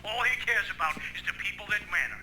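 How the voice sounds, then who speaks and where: A man's voice: high voice, lively intonation, fast. An angry, foul-mouthed gangster in a crime game. He barks threats through a telephone, with heavy noise and clicks all over the line. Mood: angry, threatening. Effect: telephone filter.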